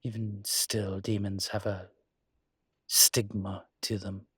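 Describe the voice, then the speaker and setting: A suave demon acted by a man for an intimate roleplay audio drama. His voice is lowish and husky, with little melodic movement. He speaks wryly and articulately, proud and protective of his kind, in a very dry, close recording. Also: level tone, fairly bright tone, no echo, exaggerated voice. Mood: wry, troubled. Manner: wry, articulate, unhurried emphatic phrasing.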